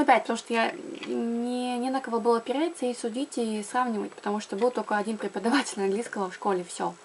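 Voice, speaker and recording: A woman's voice: fairly high pitch, vocal fry, slight background noise. A young woman from Karelia chatting casually to camera about learning languages, with frequent fillers and self-corrections. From Karelia, Russia. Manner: chatty, lots of fillers and restarts. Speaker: language blogger.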